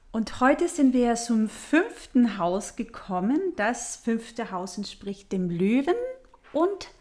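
A woman speaking German as a non-native with a foreign accent, giving an informal, unhurried explanatory talk with pauses and a playful manner. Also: medium pitch, darkish tone. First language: not German. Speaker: astrology host.

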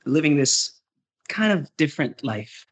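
A man's voice: a little high, sing-song, measured pace. A young Danish man with a Danish accent, chatting loosely as an interview guest, full of fillers and restarts. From Denmark. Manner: rambling, hesitant, frequent fillers and laughs. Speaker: guest singer-songwriter. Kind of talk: casual interview chat.

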